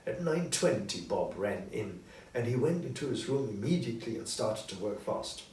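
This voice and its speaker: A man reading a story as narrator, in an expressive, deliberate scripted style. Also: on the low side. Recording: roomy echo, distant mic, faint noise.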